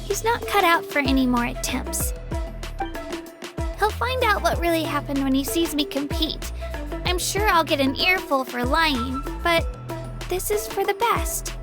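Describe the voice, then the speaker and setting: Young girl warrior, extremely high childlike voice, earnest, polite, eager, video game acting, background music. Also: animated, a little slow. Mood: wistful, resolute.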